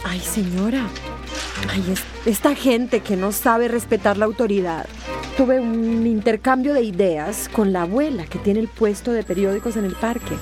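An actress playing a spiteful, vengeful mayor's assistant in a radio drama, complaining indignantly. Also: female voice, medium pitch, moderate pace, over music, close to an everyday voice. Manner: indignant, complaining, deferential to boss.

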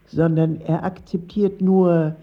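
An actress in a German radio play voicing a grave woman who lectures in a measured, didactic way. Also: lowish voice, little pitch movement, dull audio. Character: grave woman lecturing on socialist realist doctrine.

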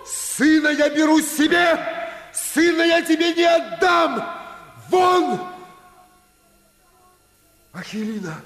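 An actor playing a passionate, tormented male violinist with drawn-out, theatrical delivery in a 1950s radio drama. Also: very high voice, animated, vocal fry, a bit bright, full character voice. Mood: shouting fury. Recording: echoey 1950s studio, long reverb.

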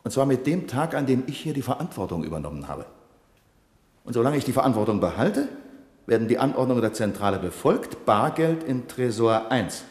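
An actor playing a pedantic, bossy bank manager in a 1970s radio play, stern and officious, giving clipped, emphatic commands. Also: male voice, mid-range voice, big pitch swings, a little fast, a little mellow, close to an everyday voice. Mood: indignant, commanding. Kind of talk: 1970s German-language radio play acting. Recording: echoey, reverberant hall with faint hiss.

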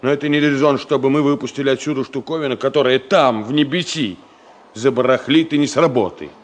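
A man's voice: medium pitch, brisk, close to an everyday voice. An actor playing a middle-aged senior engineer in a radio drama, scolding and teasing in a folksy, ironic, fatherly way. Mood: stern lecturing. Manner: folksy, ironic, chiding with rhetorical questions. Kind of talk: Soviet radio drama acting. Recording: noisy 1970 radio play recording.

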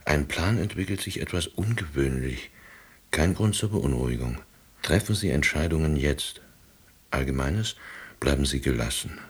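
An actor voicing printed horoscopes and adverts in a radio play, in a measured, declarative manner. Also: male voice, very deep voice, animated, husky, exaggerated voice. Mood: assured, oracular. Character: pronouncing voice of a horoscope and job advert. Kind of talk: radio drama read-out announcement.

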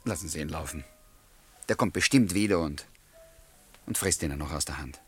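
A man's voice: very deep voice, moderate pace, breathy edge, faint music behind. An actor playing an earnest, lovelorn writer who confides his story in a radio play. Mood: consoling, indignant. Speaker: narrator and lead character. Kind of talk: radio play, confiding first-person narration and dialogue.

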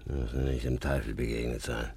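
A man's voice: little pitch movement, a little fast, a little mellow, dry recording, heavily acted. An actor playing a proud, stern old village father in a radio play, with an emphatic, hot-tempered way of talking. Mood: scornful. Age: older. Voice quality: husky, very deep. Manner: emphatic, agitated, swinging from muttering to outbursts. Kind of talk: naturalistic German radio play acting.

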